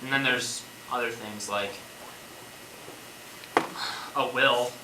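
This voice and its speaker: A man's voice: mid-range voice, steady tone, slow pace, close to an everyday voice. A man acting in a stage play as a wry, rambling man facing death in a zombie apocalypse. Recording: echoey stage from far off, noisy.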